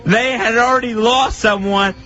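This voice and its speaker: A man's voice: very high-pitched, steady tone, normal speed, pushed and bright. An upset man in an emotional on-air rant, working as a radio co-host. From Florida, United States. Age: 40s. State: upset, distraught. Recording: dull lo-fi radio clip, music behind.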